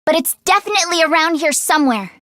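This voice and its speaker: An earnest teenage girl fighter from a video game, pitched very high and recorded dry and close. She is anxious but tech-minded. Her delivery is expressive, with a hesitant stammer. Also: fast talker, dry recording. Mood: earnest, focused. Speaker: voice actress.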